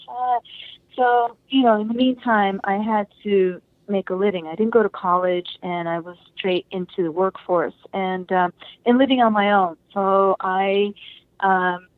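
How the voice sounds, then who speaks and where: A woman's voice: medium pitch, no room sound. A woman guest telling stories in a casual interview, chatty and laughing often, switching into playful voices for quoted people. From Japan and United States. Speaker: interview guest, singer and actress. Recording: dry, tinny phone-like line.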